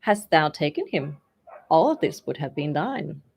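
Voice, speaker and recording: A woman's voice: deep voice, big pitch swings, a little slow, vocal fry, a little mellow, slightly rough, full character voice. A woman voicing a scornful male beggar musician who answers his bride curtly. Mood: taunting. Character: scornful beggar musician man, secretly a king.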